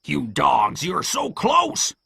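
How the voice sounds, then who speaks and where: Gravelly, high-pitched, furious blind pirate. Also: male voice, very animated, moderate pace, a little hiss or hum, exaggerated voice. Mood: furious, scornful. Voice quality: gravelly, harsh shouting. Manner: bullying, barking exclamations. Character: furious blind old pirate villain, commanding and cruel.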